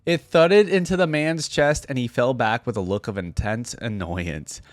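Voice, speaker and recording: A man's voice: mid-range voice, moderate pace, no room sound. A man doing casual, chatty reaction commentary, reading aloud and commenting informally to his viewers.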